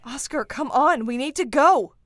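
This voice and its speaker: A woman as a high-voiced, determined young heroine. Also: lively intonation, normal speed. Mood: resolute. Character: determined young female fantasy fugitive, protective and blunt. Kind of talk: fantasy audio drama acting.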